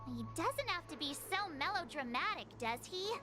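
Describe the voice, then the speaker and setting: An actress voicing a young, perky, frank girl in a game, girlish and expressive, often sounding questioning. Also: normal speed. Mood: puzzled. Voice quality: high, girlish. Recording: game music behind the voice.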